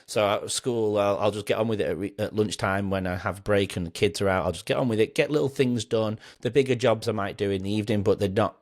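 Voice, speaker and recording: A man's voice: fairly low pitch, fast talker, hardly any pauses. A man hosting a podcast, chatting earnestly in a rambling way, then closing with an encouraging sign-off. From England. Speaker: podcast host. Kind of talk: casual interview chat and scripted outro.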